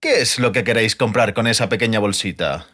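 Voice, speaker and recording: A man's voice: on the low side, a little fast, pushed and bright. Man playing a pompous, dry-tongued bard. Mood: sarcastic. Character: haughty, sarcastic male bard, wary and pompous. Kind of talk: audiobook character voice.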